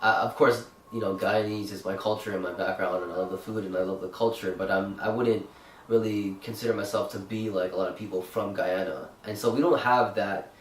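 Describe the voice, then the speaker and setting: A man's voice: lowish voice, steady tone, fairly quick, a little hiss or hum. A young man with a Canadian accent, chatting casually as a vlog co-host, rambling with fillers and restarts. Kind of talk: casual chat. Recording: echoey room, mic at a distance.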